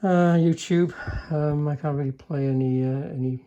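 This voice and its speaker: A man's voice: fairly high pitch, steady tone, moderate pace, warm tone, muffled recording. An older man giving a casual hands-on demonstration, speaking in an understated, hesitant way with trailing remarks. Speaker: radio repairer demonstrating a restored chassis.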